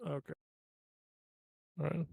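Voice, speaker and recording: A man's voice: slow pace, mellow, no room sound. A man playing a tabletop role-playing game, chatting casually and asking tentative, stammering questions.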